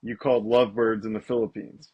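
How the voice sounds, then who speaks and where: Husky-voiced male podcast co-host. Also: fairly low pitch, little pitch movement, fast, dull audio. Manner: chatty, asks questions, frequent restarts. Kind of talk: casual chat.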